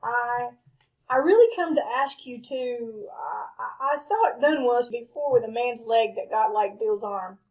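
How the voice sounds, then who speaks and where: Woman playing a high-voiced, anxious frontier wife. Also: lively intonation, darkish tone, low-quality recording. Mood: anxious, confessing. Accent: American Western. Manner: hesitant, halting, humble. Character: plainspoken frontier outlaw's wife, anxious, loyal, once wild. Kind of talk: old-time radio Western acting.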